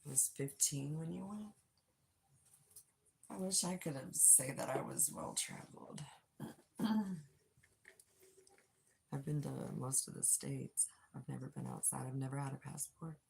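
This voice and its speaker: An older woman with a low, husky voice chatting casually with viewers on a phone livestream. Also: unhurried, pauses often, darkish tone, slight background noise. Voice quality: low, gravelly voice. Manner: chatty, reads and answers chat aloud. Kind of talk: casual live chat with viewers. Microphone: phone without external mic.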